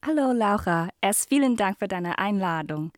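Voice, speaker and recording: A woman from Hong Kong in her thirties, a native Cantonese speaker, answering podcast questions carefully with many pauses and fillers. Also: medium pitch, lively intonation, dry recording, clean studio sound. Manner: careful non-native speech with many hesitations. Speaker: interview guest, student ambassador.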